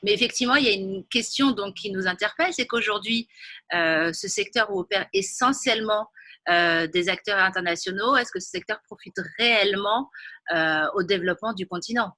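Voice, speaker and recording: A woman's voice: mid-range voice, brisk, bright, forceful tone, dry recording. A woman hosting an interview, asking long, structured questions with occasional fillers. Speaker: interviewer, host.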